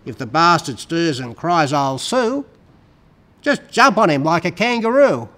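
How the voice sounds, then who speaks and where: Male poetry reader. Fairly high voice, emphatic performed delivery of rhyming verse. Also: lively intonation, measured pace, fairly bright tone. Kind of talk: dramatic recitation of rhyming verse.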